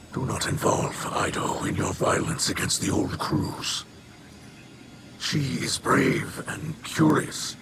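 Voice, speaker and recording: An actor playing a grave, weary alien leader and protective father in a video game, speaking in formal, measured, weighty phrases. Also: lowish voice, heavy background noise. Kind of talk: video game cutscene voice acting.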